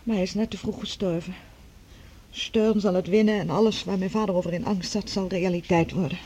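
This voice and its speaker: A woman's voice: medium pitch, fairly even intonation, a little fast, dark tone, slightly rough, close to an everyday voice. An actress playing a guarded, resolute woman in grief, speaking with formal composure in radio drama style. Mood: guarded, grieving. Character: grieving, guarded, resolute daughter of a scientist.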